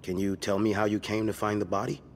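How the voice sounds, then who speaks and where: Skeptical, probing police detective played by an actor. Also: male voice, fairly low pitch, flat delivery, quick pace, slight background noise, natural voice. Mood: suspicious, probing. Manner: pointed, methodical questioning. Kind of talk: naturalistic video game acting.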